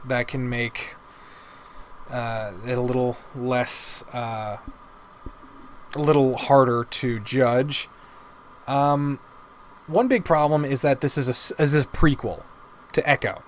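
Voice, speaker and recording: A man's voice: mid-range voice, steady tone, takes it slowly, long pauses. A man giving casual, opinionated commentary as a video host, rambling and swearing heavily as he goes. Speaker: video host reviewing visual novels. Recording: noticeable background noise.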